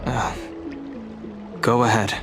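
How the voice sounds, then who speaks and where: A man's voice: mid-range voice, little pitch movement, slow, loud music behind the voice, lightly acted. A man playing a reserved, solemn young dragon-descended character in a video game, terse and formal with weary restraint.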